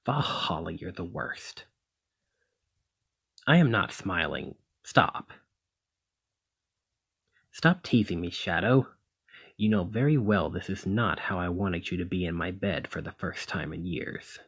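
A man playing a protective, conflicted demigod hero in a one-sided ASMR roleplay, speaking emotively and haltingly to the listener. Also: low-pitched, a bit bright, husky, exaggerated voice. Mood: teasing, pained. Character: brawny male demigod hero, protective, conflicted, exasperated lover.

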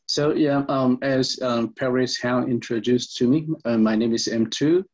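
A man's voice: medium pitch, steady tone, unhurried, darkish tone. A man from Burma introducing himself on an online panel, hesitant, with many fillers and restarts. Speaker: panelist, community organization secretary. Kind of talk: self-introduction on a video panel. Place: indoor room on a video call. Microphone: video call. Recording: muffled video call audio.

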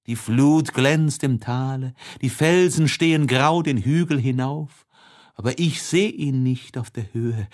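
A grieving young woman, performed by a male reader in a literary audiobook. The delivery is very measured and declamatory, full of lament for the dead. The pitch sits at an ordinary male level. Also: close to an everyday voice. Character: grieving young woman mourning slain lover and brother.

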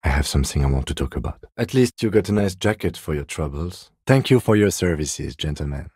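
An actor playing a composed middle-aged police detective in a video game, speaking in a measured, formal, dryly polite way. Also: male voice, rumbling low, animated, a little mellow, breathy edge, dry recording, studio quality, full character voice. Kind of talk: dramatic game dialogue, separate voice lines.

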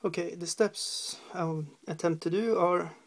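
A man's voice: high voice, fairly even intonation. A man from Norway with a Norwegian accent, hesitantly talking through a miniature painting tutorial at his desk, with many fillers. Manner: hesitant, halting, many fillers, humming while working. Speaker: hobby painter. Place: at a desk indoors.

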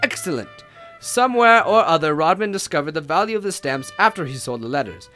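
A man acts out a large, imperious armchair detective for a radio play. His delivery is formal and pompous, pitched high with lively swings. Light music sits behind him. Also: brisk. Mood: explaining. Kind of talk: old-time radio drama acting.

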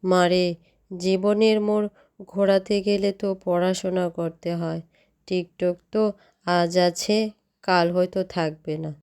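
A woman's voice: fairly low pitch, little pitch movement, unhurried, a little mellow, clear, resonant tone. A woman voicing a middle-aged village mother in a cartoon, caring but admonishing.

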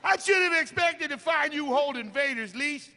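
Very high, sharp, goofy cartoon princess. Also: male voice, expressive, normal speed, a little hiss or hum, heavily acted. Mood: silly defiance. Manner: goofy, exaggerated. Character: silly high-voiced cartoon character playing a defiant space princess. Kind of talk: live comic script reading.

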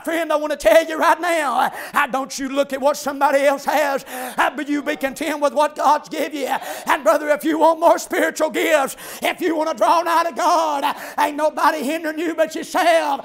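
A man preaching a revival sermon in a church, shouting in a chanting cadence and punctuating every phrase with "amen" and a rhythmic "ah.". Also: very high voice, fairly even intonation, moderate pace, creaky, hardly any pauses.

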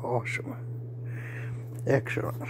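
A man, hoarse and losing his voice, chatting in a folksy, joking way while vlogging on a phone held at arm's length. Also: takes it slowly, a little hiss or hum. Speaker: railfan vlogger. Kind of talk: casual folksy vlog. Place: outdoors by a car, then indoors at home.

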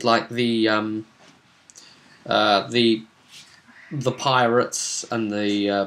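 A young man giving a casual, unscripted recap as a game master, speaking in a halting, rambling way. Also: mid-range voice, a bit bright. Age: young adult. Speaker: game master recapping a tabletop role-play session. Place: small home room, indoors.

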